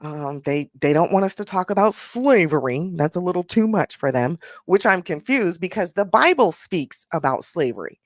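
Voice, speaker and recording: Sassy, blunt woman host, low voice. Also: expressive, dry recording, clean studio sound. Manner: sassy, blunt, drawn-out rhetorical questions. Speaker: podcast host. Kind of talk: opinionated casual commentary.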